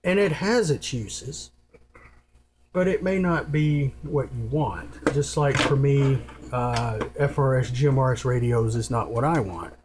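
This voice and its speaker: A man's voice: mid-range voice, unhurried. Casual, rambling man over noisy hum. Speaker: presenter giving radio gear advice. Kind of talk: casual unscripted hands-on explainer. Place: indoors at a table. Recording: noisy home recording with hum. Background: clear noise and hum.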